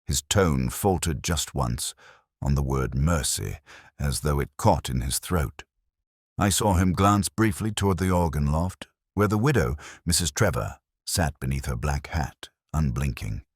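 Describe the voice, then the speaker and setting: A man reads a first-person detective story in an exceptionally deep voice. His delivery is measured and brooding, with plenty of rise and fall in the intonation. The sound is dry, and the style is literary and scripted. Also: no room sound. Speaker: narrator.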